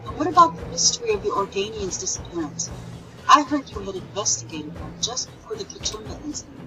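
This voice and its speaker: Curious starship captain, female actor, very animated intonation, coaxing, sci-fi audio drama with music underneath. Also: medium pitch, fairly quick, close to an everyday voice, low-quality recording.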